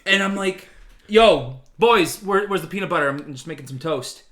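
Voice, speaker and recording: A person chatting casually and comedically on a podcast, animated and quick to banter and swear. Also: mid-range voice.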